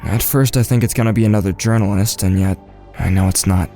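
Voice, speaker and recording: A man plays a weary, shaken teenage boy in an audio drama. His low voice is subdued and hesitant, over faint music. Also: steady tone, a little fast, mellow, natural voice. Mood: anguished, subdued. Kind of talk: first-person audio drama narration and dialogue.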